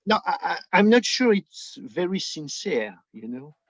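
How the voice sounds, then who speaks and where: A man from France with a French accent, giving political commentary conversationally in halting non-native English, searching for his words. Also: high voice, sing-song. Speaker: podcast guest, book editor. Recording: dull, roomy, lo-fi remote connection.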